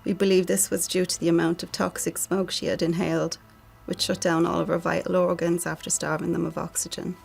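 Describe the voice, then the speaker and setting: A middle-aged Irish woman reads a prepared tribute with little rise and fall. Her voice is lowish and creaky, with soft music behind it, and her manner is solemn. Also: little pitch movement. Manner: solemn, measured. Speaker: bereaved sister giving a family statement.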